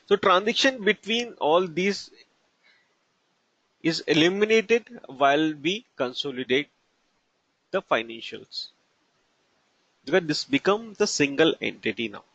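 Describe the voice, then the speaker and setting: A man with an Indian accent teaching as a trainer, explaining examples haltingly in short, broken phrases. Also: a little high, fairly bright tone, dry recording. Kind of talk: instructional lecture with examples. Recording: dull lo-fi narration, dry.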